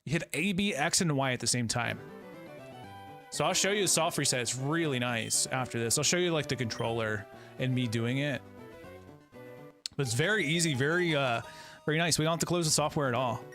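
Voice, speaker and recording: A man's voice: mid-range voice, a little fast, fairly bright tone, soft background music. A man in his thirties playing a game on a live stream, chatting in a laid-back, friendly way and greeting viewers. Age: 30s. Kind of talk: casual live-stream chat while playing.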